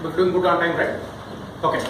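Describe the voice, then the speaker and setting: A man with an Indian accent giving an interactive public speaking training talk, jokey and animated, often checking with his listeners. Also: on the high side, brisk. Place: indoor room in front of a live group. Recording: very echoey room, distant mic, noisy.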